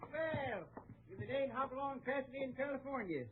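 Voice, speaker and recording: An actor playing a stubborn, chatty Old West postmaster, husky, with an American accent and folksy banter, in radio Western style. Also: male voice, very high-pitched, moderate pace. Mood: teasing. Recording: tinny vintage radio recording.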